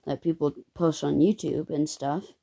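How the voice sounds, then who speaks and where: An eleven-year-old girl telling a casual story to viewers in a halting, rambling way, her voice occasionally squeaky. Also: fairly even intonation, creaky, warm tone, slightly rough. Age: child, eleven. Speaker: first-time video creator.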